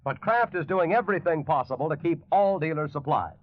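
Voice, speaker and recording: A man's voice: on the high side, expressive, a little fast, no echo. A man with an American accent reading a scripted 1940s radio advertisement as the announcer. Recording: muffled old 1940s radio broadcast.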